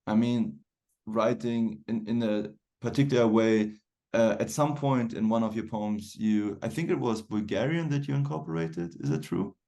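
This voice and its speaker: Gravelly low-voiced male interviewer. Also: a little mellow. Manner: loose, chatty phrasing. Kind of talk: casual interview questions.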